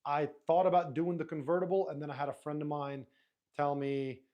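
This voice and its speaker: A man whose first language is Arabic, hosting a live stream in a relaxed, rambling way, reading viewer comments aloud and answering them. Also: fairly high pitch, brisk, highs cut off. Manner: relaxed, rambling, frequent restarts and fillers.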